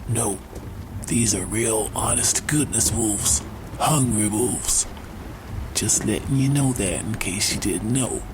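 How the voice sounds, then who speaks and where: A man's voice: fairly low pitch, slow pace, background noise. A man playing a folksy small-town late-night radio host in a comic audio drama, with a rambling, homespun delivery.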